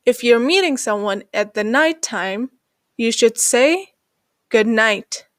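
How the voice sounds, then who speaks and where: A woman narrating an English lesson as the channel host, slowing down to read example sentences. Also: fairly high pitch, lively intonation, no room sound, clean studio sound. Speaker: English teacher.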